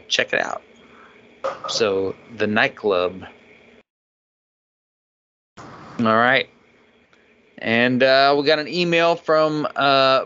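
A man with a bright, forward voice reads listener mail on a casual podcast, loose and chatty. The audio is dull and lo-fi. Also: medium pitch. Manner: laughs often while reading, loose and chatty. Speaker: podcast co-host reading listener feedback. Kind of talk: casual podcast chat and email reading.

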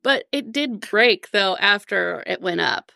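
A woman with noticeable vocal fry co-hosts a casual movie recap show. She is giggly and conversational, moving between summary and chat. The sound is close and dry. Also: medium pitch, animated, measured pace, pushed and bright, no echo. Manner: giggly, laughs often. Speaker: podcast co-host.

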